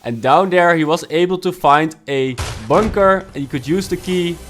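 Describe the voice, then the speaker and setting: A man narrating a video game walkthrough, giving steady, instructive explanations of each challenge. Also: on the high side, normal speed, soft background music. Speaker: gaming guide youtuber.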